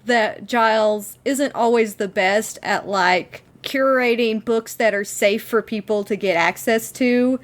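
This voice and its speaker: A woman's voice: fairly high pitch, slow, few pauses. A woman co-hosting a podcast, chatting casually and enthusiastically as a giggly fan recapping a TV episode. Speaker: podcast co-host.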